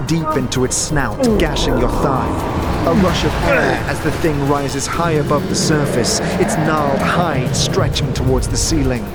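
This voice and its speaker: Male fantasy narrator over loud music. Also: a little high. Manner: theatrical, suspenseful, measured phrasing. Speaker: narrator and game master of an audio series. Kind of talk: dramatic second-person fantasy narration. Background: loud music and sound effects.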